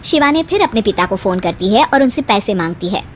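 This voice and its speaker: A woman's voice: medium pitch, big pitch swings, a little fast, slight background noise. A woman storyteller reading a scripted moral story.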